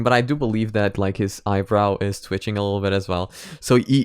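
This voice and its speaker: A man's voice: fairly low pitch, smooth, no echo, clean studio sound. A man hosting a reaction video, giving casual, conversational commentary.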